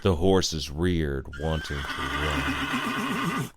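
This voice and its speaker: Casting: male narrator for a scary tale, slow, gravelly, theatrical and ominous. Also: extremely low pitch, wildly swooping pitch, heavy background noise. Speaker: storyteller. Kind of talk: dramatic scripted horror narration. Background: music.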